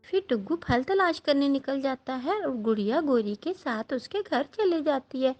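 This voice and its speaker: A woman's voice: sits high, lively intonation, normal speed, darkish tone, highs cut off. A woman narrating a scripted children's story as the storyteller.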